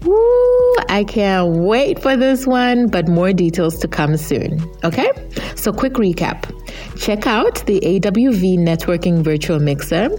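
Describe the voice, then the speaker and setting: A woman's voice: mid-range voice, a little mellow. A woman in her thirties from Zambia, hosting a podcast in an upbeat, chatty way and laughing easily. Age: 30s. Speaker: podcast host. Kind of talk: podcast intro and interview.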